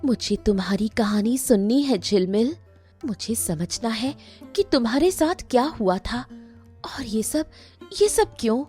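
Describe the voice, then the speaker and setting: A woman's voice: fairly high pitch, lively intonation, unhurried, warm tone, faint music behind. A woman voicing a timid, innocent village girl who stammers and repeats her words, in a cartoon story. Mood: anxious, curious.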